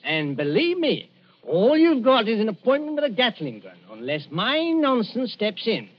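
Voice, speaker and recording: A man's voice: high-pitched, wildly swooping pitch, moderate pace, heavily acted. An actor with a London accent playing a brash magician posing as a witch doctor, full of breezy theatrical patter in a radio drama. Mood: brisk, cocky. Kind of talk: old-time radio drama acting. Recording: muffled lo-fi old radio recording.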